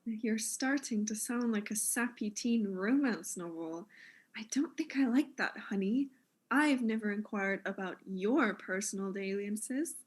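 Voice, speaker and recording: An actress playing a vain, self-important fashion mogul in a comic audio drama, arch and condescending in manner. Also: female voice, mid-range voice, measured pace, lightly acted. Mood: arch, teasing.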